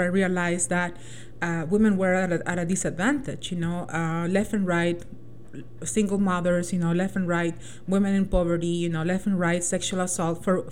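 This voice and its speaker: Woman radio host from El Salvador, middle-aged, mid-pitched, earnest and reflective, faint studio hum. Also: a little mellow. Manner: earnest, reflective, with many restarts and "right?" tags. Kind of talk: personal reflective radio talk.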